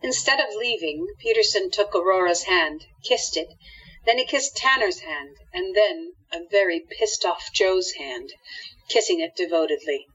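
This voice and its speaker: A woman reading scripted narration for an audio drama in a husky voice. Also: lowish voice, normal speed, a bit bright, lo-fi sound.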